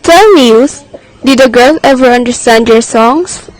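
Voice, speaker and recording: A woman's voice: fairly high pitch, a little slow, slight background noise, close to an everyday voice, low-quality recording. A woman playing Life personified in a radio drama, knowing, teasing and coolly persuasive. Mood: probing, reassuring. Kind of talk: theatrical radio drama acting.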